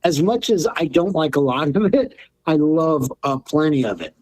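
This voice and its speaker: A middle-aged man from Los Angeles speaks in an interview, earnest and reflective, circling back over his words as he thinks. His voice sits a little high for a man. The sound is dry and close. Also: very animated, moderate pace, darkish tone, dry recording, poor audio. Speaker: guest, drum maker and healer. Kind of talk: interview conversation.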